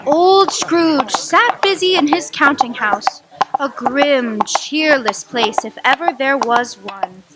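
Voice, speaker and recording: High-voiced woman narrating a story. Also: lively intonation, slow pace, heavy background noise. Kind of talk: storytelling narration in a radio drama.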